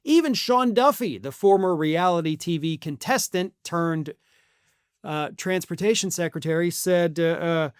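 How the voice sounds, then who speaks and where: A man's voice: fairly high pitch, measured pace, clear, resonant tone, no room sound, clean studio sound. An American man delivering opinionated news commentary as a host, in an emphatic, sarcastic and colloquial way. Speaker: political commentator and channel host.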